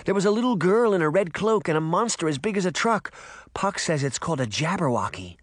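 A man's voice: sits high, animated, fairly quick, no room sound, clean studio sound, pitched above the performer's own voice. A man voicing a feisty, protective eleven-year-old girl in an audiobook, speaking with urgent emphasis. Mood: urgent, earnest. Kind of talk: audiobook character acting.

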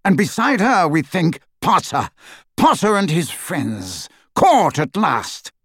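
An eager, impatient nobleman, pompous and bursting with excitement, as a male narrator puts him on. His voice rides higher than the narrator's own and swings about with animation. Dry, close storybook reading. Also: slow, no room sound, exaggerated voice. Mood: triumphant.

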